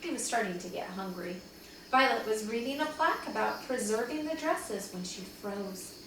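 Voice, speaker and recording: A woman's voice: fairly high pitch, normal speed. A woman reading a children's story aloud like a teacher to young pupils, expressively acting out the dialogue. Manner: animated, dramatizes dialogue for young listeners. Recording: very echoey room, distant mic, faint noise.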